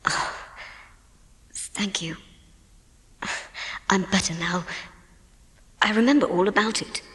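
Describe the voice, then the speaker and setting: A woman's voice: mid-range voice, takes it slowly, a bit bright, long reverb tail. A woman playing a plucky injured schoolboy with an English accent, weak and in pain, in a dramatised audiobook. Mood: faint, weak.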